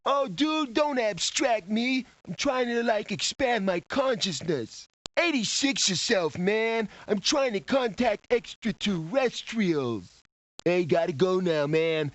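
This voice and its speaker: A man's voice: high voice, lively intonation, a little slow. A man voicing a spaced-out, flaky slacker stoner barfly for a video game, drawling in dude-heavy slang. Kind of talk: video game character acting.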